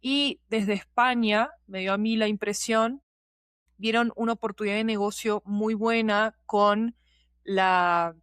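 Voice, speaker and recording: A woman's voice: mid-range voice, fairly even intonation, measured pace, fairly bright tone, clean tone, no room sound. Chatty woman, dry close sound. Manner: chatty, digressive, checking in with listener. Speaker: podcast guest, producer and teacher. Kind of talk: casual explanatory conversation.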